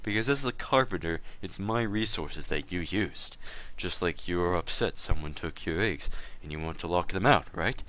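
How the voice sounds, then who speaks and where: A man playing a smug, insistent accuser in a dramatic reading of a gaming feud, measured and condescending. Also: low-pitched, expressive, a bit bright.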